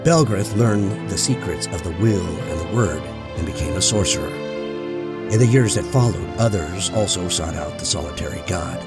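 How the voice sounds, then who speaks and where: A man narrating a fantasy audiobook in a measured, solemn storytelling manner. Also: low voice, vocal fry. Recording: loud music bed under voice.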